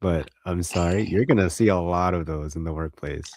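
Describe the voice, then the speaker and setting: Deep, dark-voiced man replying calmly. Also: normal speed. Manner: calm, brief reassuring replies. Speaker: podcast co-host. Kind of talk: casual chat.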